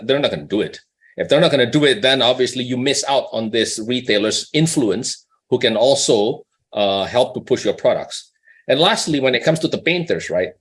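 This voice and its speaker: A man speaking as a company executive on an earnings call, explaining in a conversational way with rhetorical questions and check-ins with the listener. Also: medium pitch.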